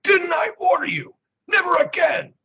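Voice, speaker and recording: A man's voice: very high-pitched, moderate pace, creaky, bright, forceful tone, shouting, voice pushed up, heavily acted. A man voicing a harsh, contemptuous military dictator father who barks and scolds. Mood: enraged. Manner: barking, scolding.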